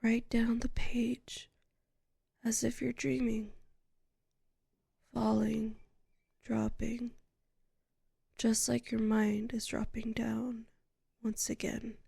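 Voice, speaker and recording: A soothing female librarian hypnotist played by a woman murmurs a sleep ASMR roleplay right up at the mic. Her soft, breathy half-whisper is very slow and heavily creaky, in a dry space with no echo. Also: a little high, level tone, exaggerated voice. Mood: soothing, hypnotic. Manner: slow, soothing, hushed, lingering pauses. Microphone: close microphone.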